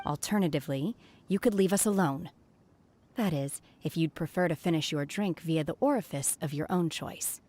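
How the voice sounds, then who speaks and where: A woman playing an aloof, blunt young adept in an anime video game, formal and deadpan. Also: low-pitched, unhurried, long pauses. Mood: coldly threatening.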